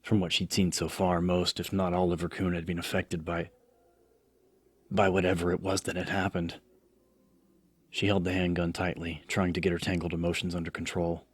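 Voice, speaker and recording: A man's voice: low voice, no echo. A man reading scripted horror fiction as a dramatic audiobook narrator. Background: background music.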